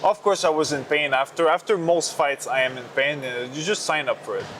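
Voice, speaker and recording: A young man from Canada with a French accent, speaking as a kickboxer in an interview, earnest and halting, often restarting his sentences. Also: fairly high pitch, fairly bright tone. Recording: noisy background.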